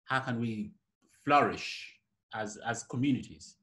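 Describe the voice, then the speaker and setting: A man's voice: medium pitch, animated, a little slow, pushed and bright. A young man hosting a casual video-call interview, talking in a reflective, loose way with many "you know"s, from a room at home. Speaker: interviewer, host. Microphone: laptop webcam on a video call.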